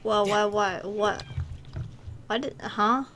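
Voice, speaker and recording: A woman's voice: mid-range voice, fairly even intonation, slow pace, fairly bright tone, a little hiss or hum. A woman giving casual gameplay commentary, muttering exasperated asides to herself as she plays. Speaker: gaming video host.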